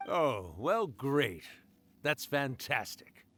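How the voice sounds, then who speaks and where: A man voicing a wistful, genial yokai spirit in a video game, with an expressive, theatrical manner. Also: medium pitch, extremely slow, slight background noise, full character voice. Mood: gentle. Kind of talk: scripted video game voice acting.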